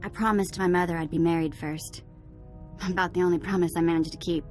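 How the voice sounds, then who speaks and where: A woman's voice: lowish voice, fairly even intonation, fast talker, faint music behind, lightly acted. An actress playing an irreverent young alien woman in a sci-fi video game, speaking in a casual, playful, affectionate way. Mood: nervous, affectionate. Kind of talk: scripted video game dialogue.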